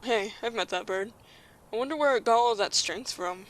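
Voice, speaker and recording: A woman's voice: a little high, moderate pace, a little hiss or hum, close to an everyday voice, low-quality recording. A woman playing a kind, caring human child who leads and encourages friends in an audio drama. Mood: calm, reassuring. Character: kind, reassuring human child leader, androgynous.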